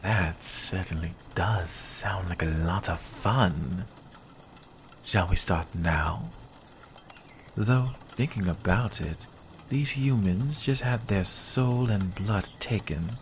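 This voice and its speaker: An actor playing a sly, centuries-old vampire in an ASMR roleplay, speaking in a hushed, deliberate, guarded way. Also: sits low, a little hiss or hum. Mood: curious.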